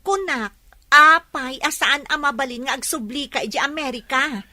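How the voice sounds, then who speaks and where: Radio drama role: pushy, exasperated woman, very high sharp voice. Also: moderate pace, no echo. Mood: shocked, exasperated. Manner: insistent, exasperated questioning. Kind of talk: Ilocano radio drama acting.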